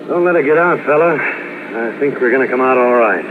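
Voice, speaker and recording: A man's voice: medium pitch, fairly quick, close to an everyday voice. Man acting a tough, brusque detective, tinny radio. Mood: reassuring. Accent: American. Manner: clipped, brusque, authoritative. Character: tough, commanding police detective, friend of the husband. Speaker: radio drama actor. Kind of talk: 1950s radio crime drama acting. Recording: tinny, noisy old radio recording.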